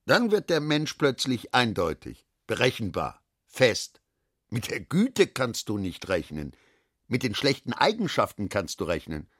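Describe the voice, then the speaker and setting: An actor playing a worldly middle-aged businessman father, lecturing his daughter with emphatic, deliberate phrasing and a husky voice in a literary radio drama. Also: mid-range voice, wildly swooping pitch, a bit bright, no room sound, studio quality, heavily acted. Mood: insistent.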